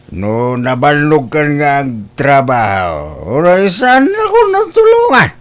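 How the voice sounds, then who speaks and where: A man's voice: a little high, wildly swooping pitch, slow, exaggerated voice. A man playing a proud, scornful older father with a Filipino accent, grumbling and blustering in an Ilocano family radio drama.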